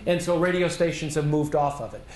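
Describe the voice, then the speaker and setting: A man presenting at a conference, answering audience questions in a measured way, thinking aloud with restarts. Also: fairly high pitch, darkish tone, reverberant. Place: conference hall.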